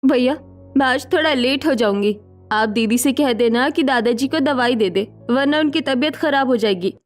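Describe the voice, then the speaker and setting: A woman's voice: sits high, normal speed, soft background music, natural voice. A woman playing a polite, concerned caregiver in a cartoon story. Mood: apologetic, concerned.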